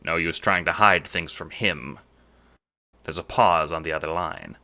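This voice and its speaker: A man's voice: rumbling low, unhurried, sharp tone. A man narrating a scripted audio drama in the second person, with dramatic storytelling delivery.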